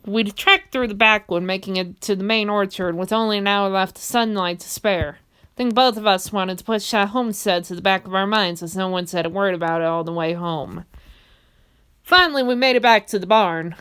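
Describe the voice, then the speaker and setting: A young woman reading a fan comic dub in a steady first-person storytelling narration. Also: medium pitch, moderate pace, clear, resonant tone.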